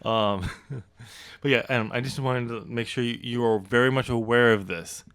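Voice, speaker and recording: A man's voice: mid-range voice, moderate pace, smooth, no room sound. A man hosting a podcast, chatting casually and laughing often about his own novel. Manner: laughs often, jokey and animated. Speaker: podcast host and author. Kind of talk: casual chat.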